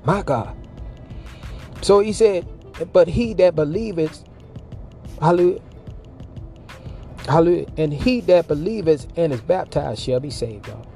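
Impassioned preacher, swooping cries over faint music. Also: male voice, animated, a little slow, vocal fry, long pauses, warm tone. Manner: call-and-response preaching, swooping from low murmurs to high cries. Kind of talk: impassioned sermon.

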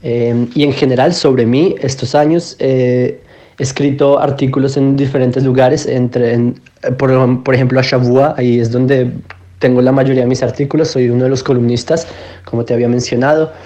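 A man's voice: mid-range voice, fairly even intonation, moderate pace, warm tone. A man, giving a matter-of-fact spoken self-introduction as a podcast guest. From Colombia, Bogotá. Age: 20s. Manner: matter-of-fact, listing his life in order.

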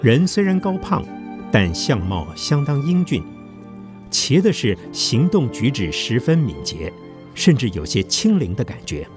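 A man's voice: mid-range voice, very animated, a little slow. A man narrating a scripted story in Mandarin as the storyteller of an audio drama. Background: background music.

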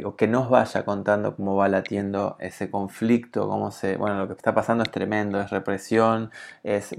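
Un conductor de radio argentino que comenta sin guion, con muchas pausas, titubeos y frases que retoma. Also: male voice, fairly low pitch, vocal fry. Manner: hesitant, many restarts and fillers. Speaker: radio host. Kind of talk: unscripted radio commentary.

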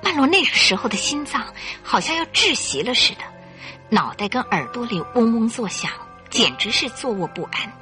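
Female narrator for radio drama, lively swinging intonation, bright tone, suspenseful delivery, music underneath. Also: a little high, normal speed. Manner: theatrical, suspenseful, clearly articulated.

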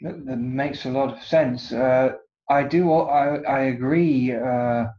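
A man's voice: mid-range voice, slow pace. A man hosting a podcast, asking questions politely with frequent uhs. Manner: polite, hesitant with fillers. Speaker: podcast host. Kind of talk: podcast interview. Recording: dull lo-fi sound.

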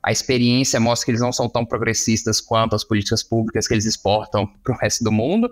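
A Brazilian man from Minas Gerais speaks as an interview guest. His voice is on the low side. He explains in a casual, conversational way, halting now and then to find a word.

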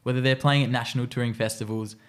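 A man's voice: medium pitch, steady tone, fast talker. A man hosting a podcast, reading an upbeat introduction and then asking guests casual questions. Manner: upbeat, reads a glowing introduction. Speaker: podcast host.

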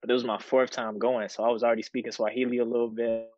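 A young man in his twenties from Chicago, telling a story on a student podcast in a steady, low-key way. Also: quick pace, no echo. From Chicago, United States. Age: 20s. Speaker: student guest. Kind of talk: casual podcast conversation. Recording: dry, dull lo-fi sound.

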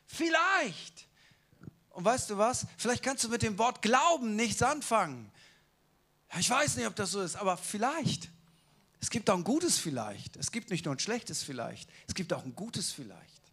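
A man's voice: sits high, very animated, normal speed, a bit bright, breathy edge. A man giving a casual, colloquial sermon who keeps asking the listeners rhetorical questions. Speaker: preacher.

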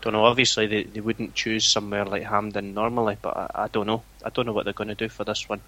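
A Scottish man chatting casually on a podcast as the guest, with a flat, even way of talking that he calls boring. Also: on the low side, quick pace. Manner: flat, self-described boring voice. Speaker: forum owner, guest. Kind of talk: casual chat.

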